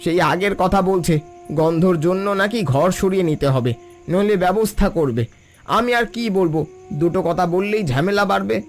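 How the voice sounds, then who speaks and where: A man's voice: high-pitched, moderate pace, faint music behind. A man voicing a poor, long-suffering fisherman in a cartoon, earnest and dignified. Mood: weary resolve. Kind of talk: scripted cartoon dialogue.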